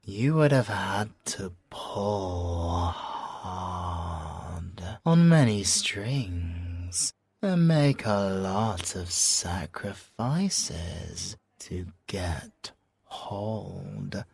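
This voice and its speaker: A low-voiced British man plays a sly, possessive villain in an audio roleplay. He draws his words out very slowly and breathily, teasing and theatrical, with swooping pitch. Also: expressive, creaky, darkish tone, slightly rough, no echo. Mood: hushed, seductive. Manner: teasing, drawn-out, theatrical delivery. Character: sly, seductive, possessive male villain toying with an officer. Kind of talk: theatrical ASMR character roleplay.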